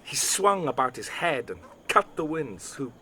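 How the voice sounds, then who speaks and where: A man's voice: fairly high pitch, measured pace, slight background noise, exaggerated voice. An actor playing an earnest young noble peacemaker, telling his tale with animation in a Shakespearean audio drama. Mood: earnest recounting. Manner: animated storytelling.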